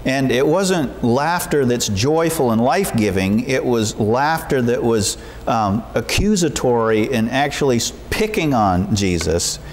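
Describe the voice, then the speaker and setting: A man in his fifties preaching informally as a pastor in a measured, gently encouraging way. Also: mid-range voice, expressive, slight background noise. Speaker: pastor leading a church service. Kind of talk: informal sermon and prayer. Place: church sanctuary, at a wooden pulpit.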